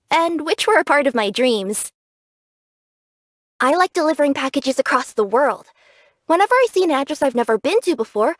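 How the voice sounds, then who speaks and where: A woman's voice: sits high, dry recording, clean studio sound. A woman voicing a cheerful, curious young female cat yokai who works as a package courier in a video game, earnest and bubbly in manner. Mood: fond, dreamy, then eager, wondering. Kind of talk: English video game character voice acting.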